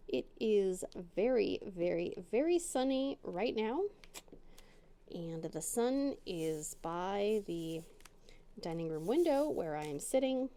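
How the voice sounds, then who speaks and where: Middle-aged woman, casual crafting chatter at home, warm mellow tone, slow easy delivery. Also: a little high. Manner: rambling, self-deprecating, chatty. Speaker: crafting channel host. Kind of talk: casual chat while crafting. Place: home dining room.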